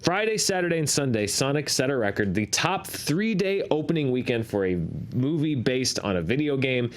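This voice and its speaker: A man's voice: mid-range voice, big pitch swings, normal speed. A middle-aged man hosting a box office show, talking through figures conversationally with a self-deprecating, numbers-loving manner.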